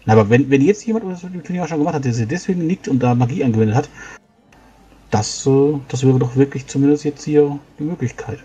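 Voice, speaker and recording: Fast-talking man with a mellow voice. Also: mid-range voice, husky. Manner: quick, reasoning aloud with fillers. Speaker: player. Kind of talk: in-character role-play.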